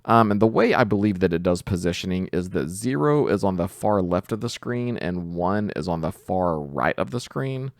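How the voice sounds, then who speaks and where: A man giving an informal screen-share software tutorial, thinking aloud hesitantly as he goes. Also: deep voice, animated, normal speed, few pauses, no room sound, clean studio sound. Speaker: tutorial host, coding instructor.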